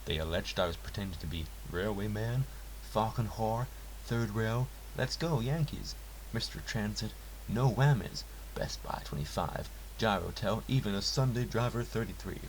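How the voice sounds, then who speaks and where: A man with a notably low voice narrates a first-person story from a script. His delivery is earnest and theatrical. A light hiss sits under the recording. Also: normal speed. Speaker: narrator reading a story aloud. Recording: hissy, clearly noisy home recording.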